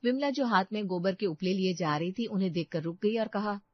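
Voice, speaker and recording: A woman's voice: medium pitch, a little fast, no room sound. Female narrator for scripted cartoon story: middle-range voice, dry, slightly dull sound.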